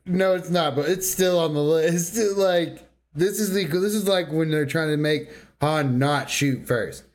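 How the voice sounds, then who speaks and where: A man's voice: a little high, measured pace. A man co-hosting a podcast with casual, emphatic banter, often repeating himself for emphasis. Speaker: podcast co-host.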